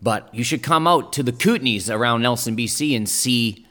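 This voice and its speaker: A man's voice: mid-range voice, normal speed, fairly bright tone, in a large hall. A man whose first languages are German and Italian, a rapper guest talking in a laid-back, slangy way with lots of fillers and restarts. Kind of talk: casual interview chat.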